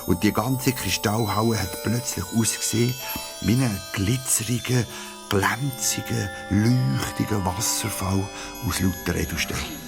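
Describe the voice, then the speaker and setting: A man with a Swiss German accent narrating a children's radio play as an expressive storyteller. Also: lowish voice, unhurried, creaky, music in the background.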